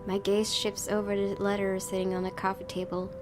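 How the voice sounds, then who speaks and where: Female gaming YouTuber, casual and expressive read-aloud, clear game music underneath. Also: mid-range voice, a little fast. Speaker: gaming YouTuber reading a visual novel aloud. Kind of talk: casual let's-play commentary and read-aloud narration. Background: game music with guitar.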